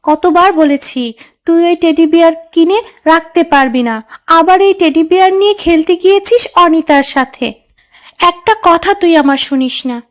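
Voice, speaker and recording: A woman's voice: extremely high pitch, normal speed. A woman voicing a stern but caring mother fox in a children's cartoon, fretful and reproachful. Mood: scolding. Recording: faint music behind.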